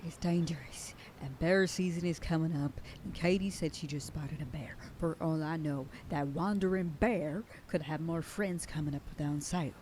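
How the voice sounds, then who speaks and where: A stern, protective werewolf sheriff girlfriend, played by a woman, bossy and scolding. Her voice sits low and mellow. A faint hiss of noise lies under the recording. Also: normal speed, few pauses. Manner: scolding, bossy then whispering tenderly. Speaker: voice actor. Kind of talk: F4M ASMR roleplay.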